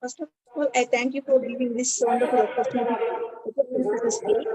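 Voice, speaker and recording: A woman from India with an Indian English accent, a nurse, giving formal, halting practice interview answers. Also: a little high, fairly even intonation, warm tone.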